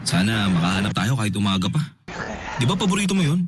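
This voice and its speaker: A shy, eager man in his thirties stumbles over his words and laughs off awkward moments. His voice sits fairly low. He is a radio drama figure trying hard to please. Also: sing-song, quick pace, noisy background. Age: 30s. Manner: nervous, stammering, laughs it off.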